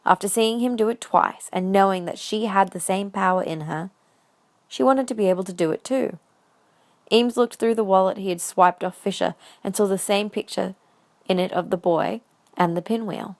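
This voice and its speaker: A woman narrator tells a fan fiction story as an audio drama. Her voice sits at a medium pitch and she reads from a script in the third person. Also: normal speed.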